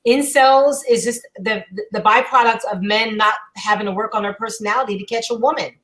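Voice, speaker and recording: A woman's voice: on the high side, brisk, a bit bright. Blunt, punchy woman co-host. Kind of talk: casual chat.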